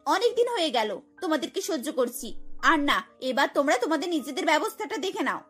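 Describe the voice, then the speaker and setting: A woman's voice: sits high, very animated, a little fast, fairly bright tone, soft background music. A woman voicing a spiteful, scheming young married daughter in a cartoon, taunting and bossy. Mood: bossy, gloating. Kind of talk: cartoon voice acting.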